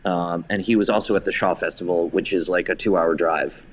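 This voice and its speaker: A man's voice: low-pitched, steady tone, a little fast, slight background noise. A man speaking as an interview guest, telling casual anecdotes in an enthusiastic, effusive way. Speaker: podcast guest, theatre director.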